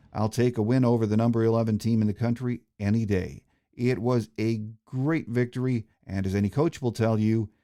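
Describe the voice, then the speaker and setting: A man's voice: lowish voice, dark tone. An American man hosting a sports podcast, reading a prepared script. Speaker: podcast host.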